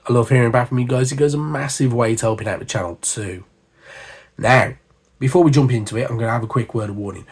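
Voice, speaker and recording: A man hosting a hobby channel, hoarse and husky from a cold, chatting casually with lots of fillers and asides. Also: mid-range voice, fast talker. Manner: chatty, rambling, with frequent fillers. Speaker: miniature painting channel host. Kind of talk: casual hobby chat to camera.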